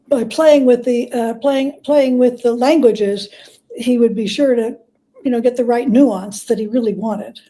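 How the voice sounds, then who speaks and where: High-voiced, mellow woman guest. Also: poor audio. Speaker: guest, biographer. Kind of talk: conversational interview storytelling.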